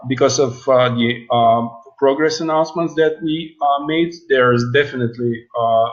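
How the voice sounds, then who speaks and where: A man giving a business presentation on an earnings call, speaking haltingly with long pauses. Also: mid-range voice, a bit bright, highs cut off. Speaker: company presenter.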